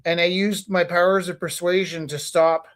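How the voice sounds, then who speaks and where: A man's voice: high-pitched, little pitch movement, moderate pace, a bit bright, soft background music. A man from Canada, a teacher, telling a long story in casual chat, rambling with lots of ums and restarts and the odd swear. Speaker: teacher and stream host.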